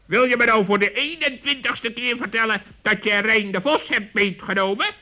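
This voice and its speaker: Shrill, cranky complaining raven. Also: male voice, sharp tone, full character voice. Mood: exasperated. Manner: drawn-out complaining. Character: exasperated, cranky male raven, melodramatic.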